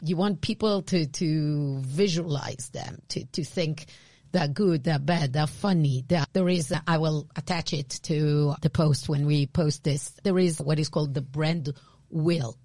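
A woman's voice: low voice, a little slow, no room sound, clean studio sound. Israeli-accented woman explaining branding. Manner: animated, restarts often, laughs easily. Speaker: podcast co-host, branding expert. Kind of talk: casual conversational explanation.

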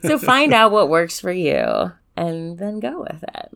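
A woman co-hosting a podcast in casual chat, expressive and quick to laugh, swearing playfully. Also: lowish voice, creaky. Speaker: podcast co-host.

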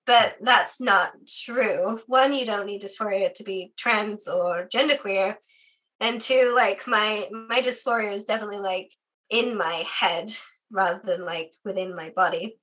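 A young adult guest from the UK, talking casually and chattily in an interview, with lots of "like" fillers and a sore voice. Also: female voice, medium pitch, a little slow, fairly bright tone, rough recording. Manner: chatty, frequent "like" fillers, laughs often.

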